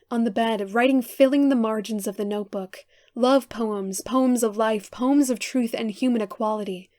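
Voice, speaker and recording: Higher-voiced woman reciting poetry, dry. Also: a little mellow, no echo. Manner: earnest, measured verse delivery. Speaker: poetry reader.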